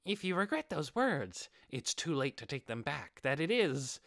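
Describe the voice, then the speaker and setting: A man's voice: fairly high pitch, sing-song, normal speed, gravelly, a bit bright, dry recording, clean studio sound, voice pushed up, heavily acted. A man voicing a boisterous, proud female giant hamster beast in an audiobook, exclaiming in quaint archaic phrasing. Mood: cocky challenge.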